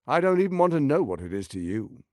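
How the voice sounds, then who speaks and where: An actor playing a suave, ambitious, scheming lord in a video game, speaking in a theatrical, articulate and condescending way. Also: male voice, medium pitch, very animated, fast talker, darkish tone, no echo, studio quality, lightly acted. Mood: cold, condescending. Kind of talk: dramatic video game voice acting.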